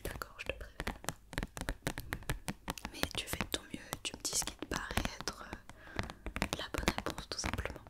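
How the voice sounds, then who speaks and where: A woman whispering softly in an ASMR roleplay as a company doctor, gently reassuring and giving step-by-step instructions. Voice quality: soft unvoiced whisper.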